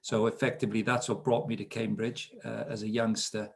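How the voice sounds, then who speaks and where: A man's voice: fairly low pitch, flat delivery, a little fast, gravelly, breathy edge. A man in his fifties with a Welsh accent from South Wales, telling stories about his life as a guest in an interview, self-deprecating and chatty. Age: 50s. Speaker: guest speaker. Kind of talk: relaxed storytelling interview.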